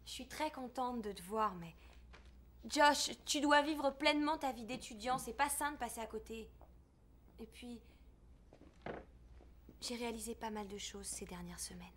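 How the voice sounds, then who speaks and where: An actress with a French accent playing a gentle, candid young female student in a dubbed comedy film. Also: fairly high pitch, unhurried, pauses often, slight background noise. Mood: earnest, evasive. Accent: French (France). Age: young adult.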